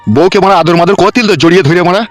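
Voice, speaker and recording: A man voicing a crude, henpecked village uncle in a comedy cartoon, gruff and blunt, with a rural West Bengal accent. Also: high-pitched, very fast, soft background music, natural voice. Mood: impatient.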